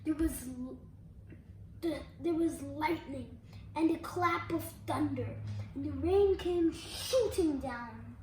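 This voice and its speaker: Very high-pitched child reading a storybook. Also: slow pace. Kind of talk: storybook read-aloud. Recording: echoey room, faint background noise.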